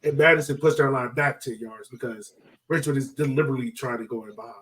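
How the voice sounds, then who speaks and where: A man chats about sport as a podcast co-host, sounding some distance from the mic. His phrasing is informal and loose, strung together with filler words, in a mid-pitched voice. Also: quick pace, fairly bright tone. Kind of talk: casual sports chat. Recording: distant from the microphone.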